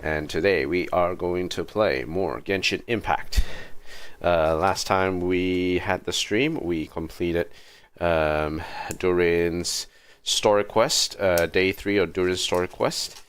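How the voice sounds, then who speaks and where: A man hosting a casual gaming livestream, talking in a halting, hesitant way with drawn-out pauses. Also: low-pitched, clean tone. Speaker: livestream host. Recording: music behind the voice. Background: background music.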